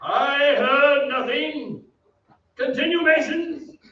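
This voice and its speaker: Proud declamatory lord for radio drama, male actor, deliberate delivery, distant and echoey stage sound. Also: very high-pitched, sharp tone, heavily acted. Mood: grim, stern. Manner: declamatory, haughty. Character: proud, stern English lord, a grown man's father, defending family honor. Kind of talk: old-time radio acting. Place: theatre stage. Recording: echoey stage, distant mic, dull, faint noise.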